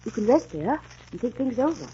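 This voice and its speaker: Casting: kind, brisk young dancer, 1940s radio drama. Highish, very animated. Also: female voice. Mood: gentle, reassuring. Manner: brisk, coaxing, reassuring. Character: kind, brisk young woman dancer befriending a lost soldier. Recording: muffled, noisy 1940s radio recording.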